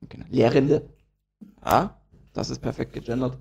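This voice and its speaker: A man's voice: medium pitch, moderate pace, vocal fry, a little mellow. A young man co-hosting a casual podcast chat, swearing often and laughing a lot. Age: young adult. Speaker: podcast co-host.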